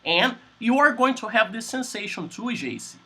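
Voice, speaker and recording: A Brazilian man with a Portuguese accent teaching English on a video call, speaking in slow, simple sentences and repeating words. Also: very high-pitched, expressive, bright, forceful tone. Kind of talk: online English class conversation.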